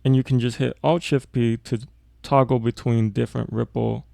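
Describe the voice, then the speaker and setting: A man explaining audio editing informally, thinking aloud as he works through a software tutorial. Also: medium pitch, little pitch movement, normal speed, gravelly, dry recording, clean studio sound.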